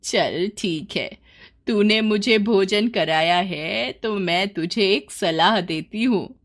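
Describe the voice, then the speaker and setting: A woman acts out a kindly old village aunt from a cartoon moral tale. She draws her words out slowly and reassuringly, with a sly, knowing air as she gives advice. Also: mid-range voice. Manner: slow, drawn-out, reassuring delivery. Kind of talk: animated moral story character voice.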